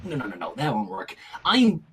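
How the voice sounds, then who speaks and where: A man's voice: mid-range voice, huge pitch swings, moderate pace, distant mic, slight background noise, voice pushed down. A man voicing a flustered, exasperated teenage girl in an urgent, stammering, huffy manner for a story reading. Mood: nervous.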